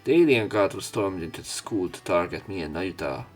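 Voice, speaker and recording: A man's voice: low voice, animated, fairly quick, soft background music. A man doing let's play commentary, reading visual novel narration aloud with opinionated asides. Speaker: let's player.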